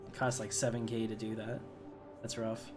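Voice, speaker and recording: A man's voice: fairly low pitch, monotone, moderate pace, over music. A man giving casual, chatty gameplay commentary, thinking aloud to his viewers as he plays. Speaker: gamer hosting a playthrough.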